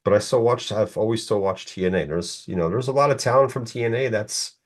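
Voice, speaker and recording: Guy in his 40s, deep voice, rambling casual podcast talk, kinda opinionated. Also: a little fast. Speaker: podcast co-host. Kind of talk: casual chat.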